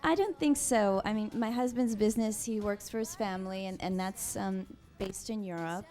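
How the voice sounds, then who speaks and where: A woman in her thirties answering interview questions in a casual, thoughtful way, pausing often with soft ums. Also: medium pitch, faint music behind. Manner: soft-spoken, thoughtful, with frequent pauses and ums. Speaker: interview guest.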